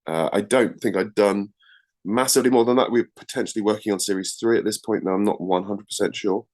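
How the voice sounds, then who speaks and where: Deep-voiced man, hedging interview guest. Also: quick pace, a little mellow. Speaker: guest, writer and script editor. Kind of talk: casual interview recollection.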